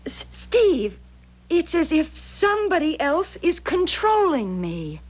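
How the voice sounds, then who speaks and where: Anxious young woman, radio drama. High, slow, halting delivery, dull old recording. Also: big pitch swings, music in the background, full character voice. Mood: anxious, bewildered. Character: troubled, frightened young woman under strange compulsion. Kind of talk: 1970s radio drama acting. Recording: dull vintage radio broadcast.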